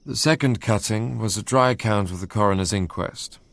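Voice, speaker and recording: A man playing a brooding, proud young narrator in a radio drama, telling his story in measured literary phrases. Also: on the low side, creaky, a bit bright, no echo. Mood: intent, troubled. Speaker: first-person narrator.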